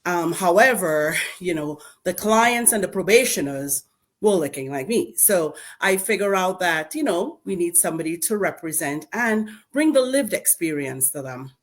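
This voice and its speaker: A middle-aged woman with a Trinidadian accent and a fairly low voice, talking in a community panel. She tells her story with lively emphasis, rising high on key words. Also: normal speed. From Trinidad and Tobago. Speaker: school board member and panel guest.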